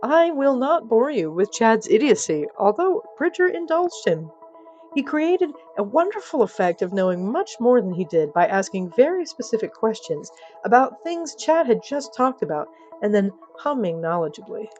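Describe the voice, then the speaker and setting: A woman's voice: a little high, sing-song, normal speed, darkish tone, faint music behind, poor audio. A woman narrating scripted comic fiction as a storyteller, with wry, dry asides.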